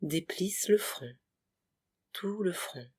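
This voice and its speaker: Very slow, breathy yoga nidra guide. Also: medium pitch, animated. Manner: soothing, hushed, with short separated phrases. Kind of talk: guided relaxation meditation.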